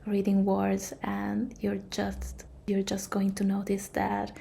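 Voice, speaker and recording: A woman's voice: medium pitch, monotone, slow pace, creaky, dark tone, slight background noise. A woman with an Italian accent, whispering a hesitant, apologetic aside while editing her video. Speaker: video creator.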